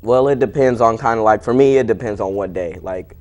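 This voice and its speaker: Low-voiced young male athlete in interview. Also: brisk, darkish tone, highs cut off. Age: young adult. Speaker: wrestler being interviewed. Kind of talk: casual interview answers.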